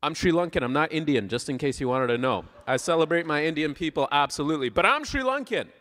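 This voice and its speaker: Canadian guy preaching, kinda high lively voice that jumps around, playful and chatty, big echoey church. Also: quick pace. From Southern Ontario, Canada. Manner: playful, conversational, asks the audience questions. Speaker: guest preacher. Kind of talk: informal sermon with audience interaction. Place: large church hall, speaking to a congregation. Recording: live hall with long reverb.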